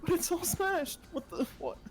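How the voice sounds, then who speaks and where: A man's voice: very high voice, big pitch swings, moderate pace, voice pushed up. A man voicing a panicky, gullible young fortune teller in a game, rambling and exclaiming. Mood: dismayed, indignant. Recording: music behind the voice.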